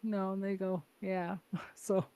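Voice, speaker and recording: A woman's voice: mid-range voice, level tone, slow, dark tone, no room sound. A woman hosting a podcast from Canada, chatty and laughing often, full of "like" and "right?". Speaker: podcast host. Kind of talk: casual conversation.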